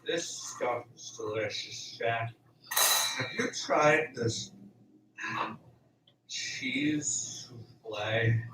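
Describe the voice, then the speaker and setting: A man playing a weary, dazed farm worker in a radio drama, talking in a vague, halting, sluggish way. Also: medium pitch. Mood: dreamy craving. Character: dazed, weary male farm worker, dreamily food-obsessed. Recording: roomy, distant, clearly noisy.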